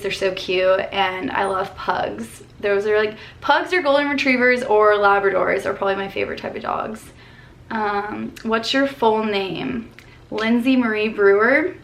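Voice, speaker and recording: A woman in her twenties from Colorado, chatting casually and upbeat through a Q&A vlog, filled with "like" and "um", recorded in her bedroom on a camera at desk distance. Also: medium pitch. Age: 20s. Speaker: vlogger.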